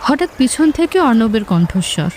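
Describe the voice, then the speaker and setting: A woman's voice: a little high, big pitch swings, fairly quick, darkish tone. A woman narrator reading a scripted romantic story. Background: music.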